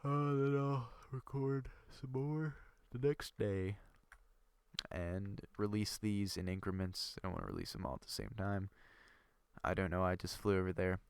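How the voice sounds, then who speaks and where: A young man with a low, gravelly voice and a stuffy, congested nose narrates his building game in a loose, rambling way. His words come out smooth and close-up, in a dry room with no echo, broken by fillers and half-finished thoughts. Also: moderate pace. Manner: rambling, thinking aloud with many fillers. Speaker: gaming video creator. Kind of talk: casual gameplay commentary.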